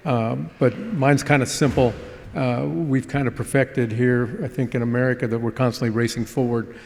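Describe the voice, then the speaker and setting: An older man speaking as a panelist at a conference, easygoing and folksy, pausing often. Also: mid-range voice, steady tone, vocal fry, a little mellow. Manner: easygoing, folksy, with many "uh" pauses. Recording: long reverb of a conference hall.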